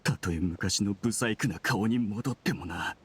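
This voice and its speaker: An actor playing a bitter, sneering researcher turned criminal, sardonic and argumentative, in an anime-style Japanese game. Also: male voice, on the low side, measured pace, faint music behind, natural voice. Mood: bitter, defiant.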